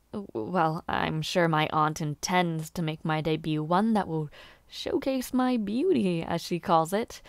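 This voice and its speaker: A woman performs a young highborn lady and would-be knight in an intimate fantasy audio drama. She speaks at an unhurried pace with shy hesitations and a playful streak, using genteel, old-fashioned phrasing. The sound is close and dry. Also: no room sound. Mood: wry, bashful. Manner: bashful, halting stammers, giggly, formal old-fashioned wording. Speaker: roleplay voice actor. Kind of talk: intimate scripted audio roleplay.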